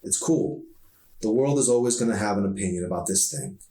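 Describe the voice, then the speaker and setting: A man's voice: lowish voice, a little fast, mellow, natural voice. Man reading as a cocky teasing teenage girl, echoey. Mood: defiant, breezy. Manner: playful, sarcastic, brash. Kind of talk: audiobook dialogue. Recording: echoey room, distant mic.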